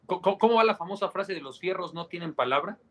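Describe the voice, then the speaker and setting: A man's voice: sits high, expressive, quick pace, a bit bright. A man chatting casually as a sports radio co-host, informal and prone to repeating words.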